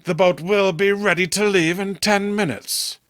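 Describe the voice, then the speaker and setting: A man's voice: sits high, fairly even intonation, measured pace, a bit bright, pitched above the performer's own voice. A man playing an obsessed man who stubbornly defends his monstrous beloved in a horror comic, formal and theatrical. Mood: threatening. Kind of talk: horror comic read-along acting.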